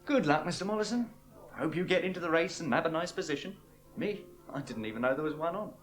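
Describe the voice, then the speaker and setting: A man's voice: on the high side, animated, fast, a bit bright. An actor in a 1970s radio play, playing a mock-polite, sarcastic working-class barman.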